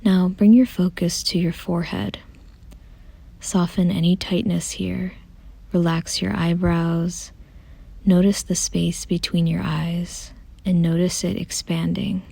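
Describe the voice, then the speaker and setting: A woman softly guiding a meditation in a gentle, calm, unhurried way, often close to a whisper. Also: fairly low pitch, no echo. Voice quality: soft, breathy, often near a whisper. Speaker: meditation guide. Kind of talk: soothing guided meditation, scripted.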